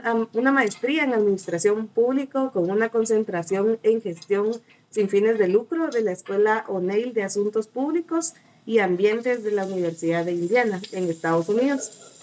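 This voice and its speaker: A woman's voice: on the high side, darkish tone, muffled recording. A woman student host opens the interview by reading a prepared introduction of the guest, polite and formal.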